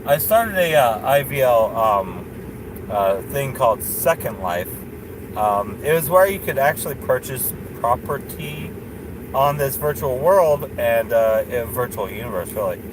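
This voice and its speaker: A man's voice: medium pitch, lively intonation, measured pace. A man in his late thirties rambling in a casual vlog from a parked car at night, full of fillers and restarts, chuckling now and then. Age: 30s. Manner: meandering, many fillers and restarts, chuckles often. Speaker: vlogger. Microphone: phone camera on dashboard. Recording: noisy car interior.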